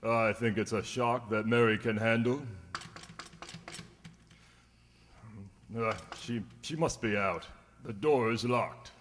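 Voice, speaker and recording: Man playing a lowish-voiced, proud young husband. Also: steady tone, measured pace, a bit bright, slight background noise. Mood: eager. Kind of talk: radio drama acting. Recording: reverberant hall sound.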